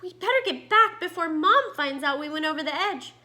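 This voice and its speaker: A woman's voice: high voice, animated, brisk, sharp tone. A woman voicing a young male shark pup who stammers nervously while trying to act brave.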